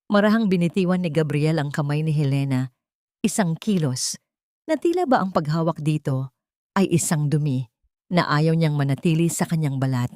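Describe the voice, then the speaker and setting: A woman reading a dramatic scripted story in a solemn, deliberate manner. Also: deep voice, darkish tone, no room sound, studio quality.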